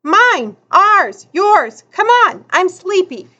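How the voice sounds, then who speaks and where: Woman voicing a storybook girl, high voice, bossy, scolding, emphatic, exasperated, lo-fi sound. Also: sing-song, slow, pushed and bright, full character voice. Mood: insistent. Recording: dull lo-fi audio.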